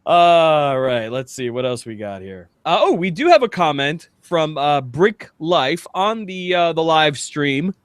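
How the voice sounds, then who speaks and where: A man's voice: on the high side, expressive, unhurried, few pauses, clear, resonant tone, no echo, studio quality. A man hosting a casual comedy podcast, rambling jokily and reading comments aloud. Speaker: podcast host.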